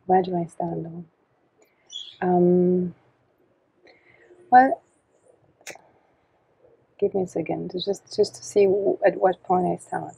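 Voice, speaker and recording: A woman in her thirties from Belgium, a podcast guest talking reflectively on an outdoor porch, with many pauses and fillers. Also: on the low side, fairly even intonation, gravelly, dark tone, husky, rough recording. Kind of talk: casual interview talk. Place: covered porch outdoors in the tropics.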